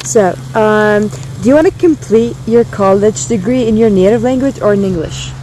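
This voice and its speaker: A woman's voice: mid-range voice, normal speed, dark tone, a little hiss or hum, low-quality recording. A teenage woman interviewing classmates, asking set questions in a friendly way. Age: late teens. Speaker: student interviewer.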